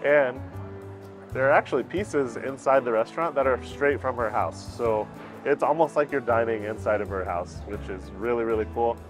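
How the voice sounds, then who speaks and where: Thirties male food vlogger, gushing, lapel mic in a busy restaurant, music underneath, mid-pitched voice. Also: moderate pace. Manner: enthusiastic, gushing about food. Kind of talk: casual restaurant review. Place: busy indoor restaurant patio.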